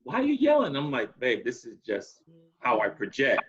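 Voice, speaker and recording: A man's voice: medium pitch, wildly swooping pitch, normal speed, fairly bright tone, husky, muffled recording. A man guest in a casual talk, speaking with a strong, projecting voice.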